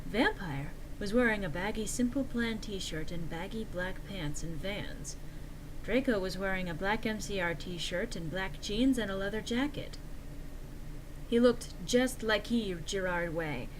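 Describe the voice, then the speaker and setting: A woman's voice: mid-range voice, a little slow, background noise. A woman giving a theatrical, exaggerated, mock-earnest dramatic reading of fan fiction as narrator.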